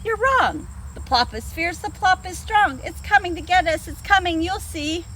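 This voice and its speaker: A woman's voice: very high-pitched, expressive, moderate pace, a bit bright, slight background noise, voice pushed up. A woman voicing a group of frightened little rabbits, chanting in frantic, breathless panic, outdoors in an orchard. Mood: panicked.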